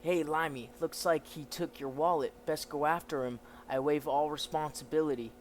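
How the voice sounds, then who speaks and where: A man's voice: fairly high pitch, fairly even intonation, moderate pace, a little hiss or hum, pitched above the performer's own voice, close to an everyday voice. Cocky, taunting New York street hustler , acted by a man. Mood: cocky, teasing. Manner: slangy, taunting.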